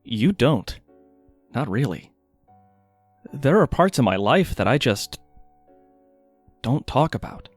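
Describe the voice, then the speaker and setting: A man's voice: medium pitch, big pitch swings, takes it slowly, long pauses, no room sound, soft background music. An actor playing a guarded, wry male mage inventor in an audio drama, speaking hesitantly and intimately. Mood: wry, reserved.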